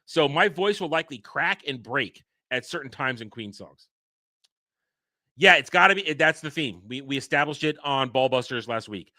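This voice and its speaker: Rambly hyped guy streaming from home, bright dry sound. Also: mid-range voice, normal speed, no echo. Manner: rambling, excitable, restarts often. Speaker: livestream host. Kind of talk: casual livestream chat.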